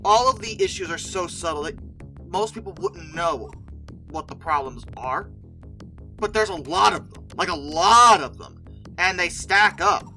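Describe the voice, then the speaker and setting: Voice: mid-range voice, unhurried, sharp tone, faint music behind, low-quality recording. A video host giving casual, opinionated commentary in a rambling, self-correcting, colloquial way. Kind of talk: casual commentary on film dinosaurs.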